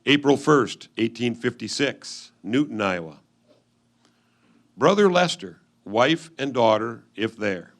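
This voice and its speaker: Earnest 1850s settler husband played by a man, mid-pitched, formal and measured, stage letter reading. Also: lively intonation. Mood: earnest, businesslike. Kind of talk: reader's theatre letter reading.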